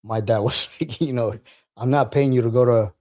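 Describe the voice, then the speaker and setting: A man's voice: medium pitch, moderate pace, a little mellow. A man speaking as a military veteran and podcast guest, telling personal stories in a casual, conversational way.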